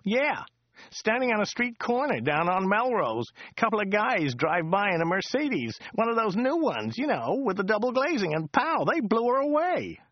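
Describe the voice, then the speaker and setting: A man doing a loud, high-voiced rambling driver. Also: sing-song, moderate pace, no echo, dull audio. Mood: rambling chatter. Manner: rambling, repeats himself, laughs at own jokes. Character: chatty loud male American taxi driver. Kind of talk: audiobook character voice.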